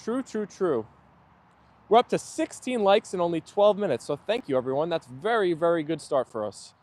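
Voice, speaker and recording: Man, friendly stream host, slightly high voice, loose chatty delivery outside. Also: expressive, normal speed, darkish tone. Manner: friendly, chatty, thanks viewers often. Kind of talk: casual live chat reading viewer comments.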